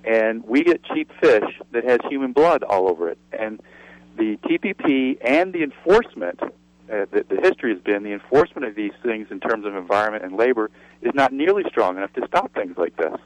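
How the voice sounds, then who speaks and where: A man's voice: fairly low pitch, lively intonation, brisk, dry recording, poor audio. A man calling in to a radio talk show, earnest and indignant as he makes his point. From Seattle area, USA. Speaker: caller. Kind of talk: call-in comment on radio.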